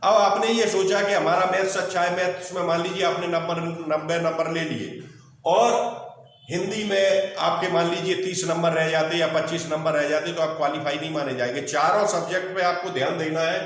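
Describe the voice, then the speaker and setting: A man's voice: fairly high pitch, steady tone, moderate pace, a bit bright. A man teaching an online exam coaching lesson point by point, instructive and reassuring, mixing in English terms. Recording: very echoey room, distant mic.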